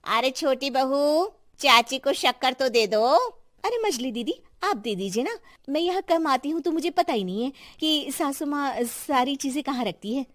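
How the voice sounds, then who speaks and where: Woman doing a high, wheedling young daughter-in-law. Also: animated, moderate pace, a bit bright, dry recording, clean studio sound. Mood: evasive. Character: grasping young daughter-in-law, sly and entitled.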